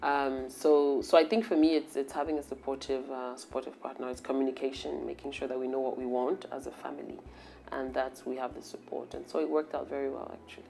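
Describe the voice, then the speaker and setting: A woman in her forties from Cameroon gives interview answers in a measured, reflective manner. Her voice sits very low and carries a gravelly fry, with a faint hiss of noise behind it. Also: level tone, dark tone. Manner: measured and reflective, pausing to gather thoughts. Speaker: interview guest, HR professional.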